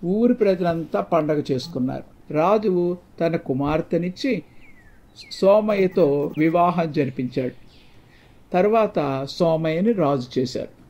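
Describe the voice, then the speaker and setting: A man's voice: on the high side, expressive, a little slow, dark tone. A man reading a scripted moral folk tale as a storyteller. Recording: dull, muffled lo-fi sound.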